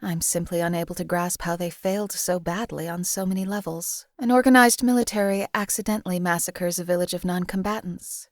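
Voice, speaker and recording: A woman voicing a young female assassin in a game, speaking in a flat, clipped, unemotional way. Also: fairly low pitch, a little fast, few pauses, no room sound, studio quality, natural voice. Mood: cold. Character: cold detached female assassin, young adult. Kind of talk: game companion voice lines.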